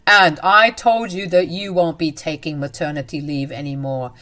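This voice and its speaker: A furious, bullying husband barks orders in a harsh, raised voice, as a woman reads his lines. The voice sits slightly low and stays hard and demanding throughout. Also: fairly even intonation, moderate pace, sharp tone, soft background music. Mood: threatening. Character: angry, bullying adult husband.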